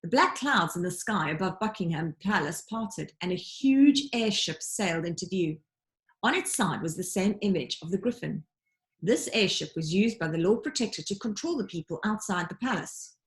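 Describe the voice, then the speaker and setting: A woman's voice: fairly low pitch, animated. Woman reading a children's story expressively. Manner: dramatic storytelling delivery with deliberate emphasis. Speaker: teacher reading aloud to pupils. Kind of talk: expressive children's audiobook narration.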